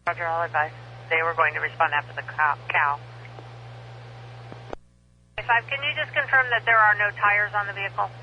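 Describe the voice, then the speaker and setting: A man's voice: sits high, steady tone, normal speed, long pauses, muffled recording. A man working as an emergency dispatcher over two-way radio, reading back codes and numbers in clipped, procedural phrases. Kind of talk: police and fire radio dispatch. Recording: noisy police scanner radio, humming.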